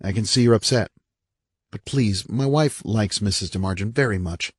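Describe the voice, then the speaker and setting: A man's voice: lowish voice, moderate pace, slightly rough, dry recording, pitched above the performer's own voice. A man voicing an apologetic, bewildered husband in an audiobook, earnest and uneasy in manner.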